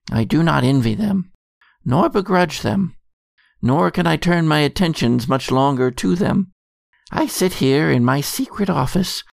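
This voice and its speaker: A man playing a weary, secretive and resigned keeper of lore, delivering a measured, portentous dramatic monologue. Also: medium pitch, vocal fry, dry recording. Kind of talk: scripted dramatic narration.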